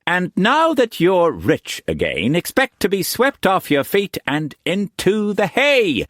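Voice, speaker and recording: A man's voice: sits high, wildly swooping pitch, takes it slowly, fairly bright tone, no room sound, studio quality, voice pushed up, heavily acted. A man voicing a flamboyant, theatrical old male painter who talks in grand exclamations. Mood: wheedling.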